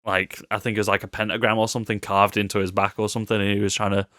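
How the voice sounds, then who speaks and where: A man with a fairly low voice chats casually as a podcast co-host. His delivery is informal and conversational, and the recording is close and dry. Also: flat delivery, racing, pushed and bright, no room sound. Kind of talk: casual film discussion.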